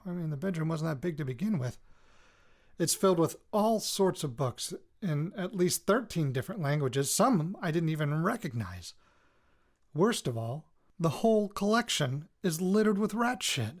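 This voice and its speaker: A tired, jittery radio reporter keeps an audio diary in a podcast drama. His voice sits a little high for a man, and he talks in a natural, halting way, often interrupting himself. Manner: halting, self-interrupting, muttered cursing. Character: weary, jittery male radio reporter recording personal audio notes.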